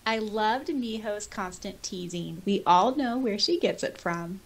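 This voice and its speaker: A woman co-hosting a podcast, chatting and reading comments aloud in an animated, jokey way. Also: mid-range voice, measured pace. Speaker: podcast co-host. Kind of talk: casual podcast chat mixed with reading listener comments aloud.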